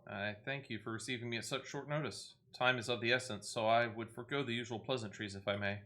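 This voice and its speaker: A man's voice: medium pitch, fairly even intonation, quick pace, sharp tone, lightly acted. A man voicing a grave, proud envoy from a besieged fantasy city in a video game, with stately, urgent archaic speech.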